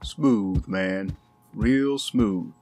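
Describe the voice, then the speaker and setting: Man playing a male bodyguard, deep voice, deadpan, teasing, laconic, audio drama, faint noise. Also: drawn-out words. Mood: sardonic.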